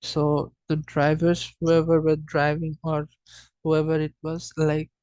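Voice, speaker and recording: A student of indeterminate gender walks through a data presentation at a slow pace, in a middling-pitched voice. The delivery is halting and informal, with frequent restarts and self-corrections. The sound is dry, with very little room around it. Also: completely flat delivery, a little mellow, clear, resonant tone, dry recording, lo-fi sound.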